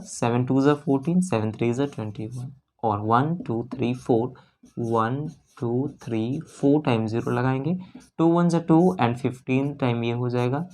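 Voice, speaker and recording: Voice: mid-range voice, a little mellow. An Indian maths teacher explains an exam problem step by step, repeating phrases and figures, mixing in English terms and often checking in. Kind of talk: exam-prep maths lesson, working through a problem step by step.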